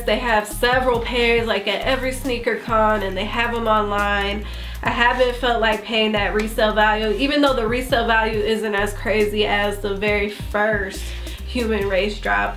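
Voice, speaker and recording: A woman's voice: mid-range voice, fairly bright tone. A woman with a congested, nasal voice from bronchitis, chatting casually as a sneaker vlogger with many fillers. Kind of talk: casual vlog review. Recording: echoey room with faint music.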